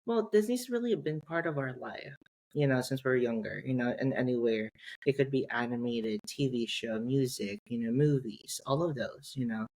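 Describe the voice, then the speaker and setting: A man's voice: mid-range voice, darkish tone, rough recording. A man, a Tagalog speaker, chatting as a guest on a podcast with lots of fillers and restarts. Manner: halting, many fillers and restarts. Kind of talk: casual podcast chat.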